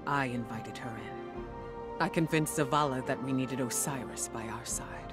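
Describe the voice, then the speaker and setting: A woman playing a troubled scholarly commander in a video game, speaking in a grave, measured, introspective manner. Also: very deep voice, music in the background. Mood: remorseful. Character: troubled, guilt-ridden senior commander and scholar giving orders. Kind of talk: dramatic video game dialogue.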